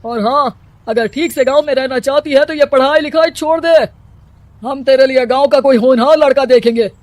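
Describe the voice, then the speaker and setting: A woman's voice: high voice, normal speed, darkish tone, no room sound, natural voice. A woman voicing a scheming male village headman in a storybook cartoon, commanding and harsh. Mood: threatening. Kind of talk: storybook voice acting.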